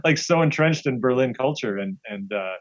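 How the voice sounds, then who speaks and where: A man's voice: medium pitch, expressive, normal speed, no echo. A man speaking casually as an interview guest, telling anecdotes and acting out what people say. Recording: slightly dull, lo-fi audio.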